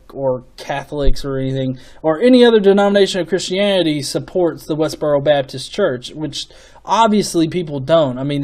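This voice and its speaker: A man giving off-the-cuff opinion commentary as a video host, in a casual, sweary manner with halting, repeated words. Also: a little high, darkish tone. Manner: casual, profanity-laden.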